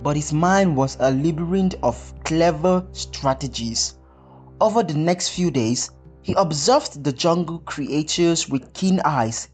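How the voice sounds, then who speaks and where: Folktale narrator, scripted storybook delivery, medium pitch, music behind. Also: unhurried, darkish tone, slightly rough.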